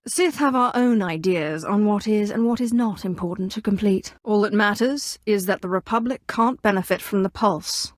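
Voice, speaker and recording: Woman voice acting a sith sorceress for a video game, bossy n sarcastic, clipped and coolly menacing, mid pitch, super dry studio sound. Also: dry recording, close to an everyday voice. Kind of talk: scripted game dialogue, standalone voice lines.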